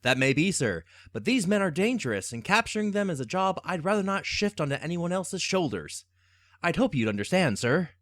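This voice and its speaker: A man's voice: high-pitched, sing-song, a little fast, fairly bright tone, no echo, clean studio sound. A man playing a brave, dutiful American army captain with an earnest, heroic manner in a radio serial style.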